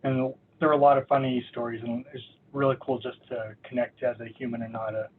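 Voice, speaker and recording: A man's voice: mid-range voice, level tone, fairly quick. A man hosting a podcast listening party, introducing episodes in a friendly way with many fillers. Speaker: event host. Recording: tinny phone-like call audio with faint noise.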